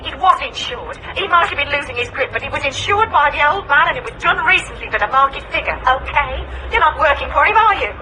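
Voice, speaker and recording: An actress with a British accent playing an angry, fiercely protective wife who argues combatively in a radio drama. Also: female voice, high-pitched, animated, fast talker, few pauses, noisy background. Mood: indignant. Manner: combative, indignant.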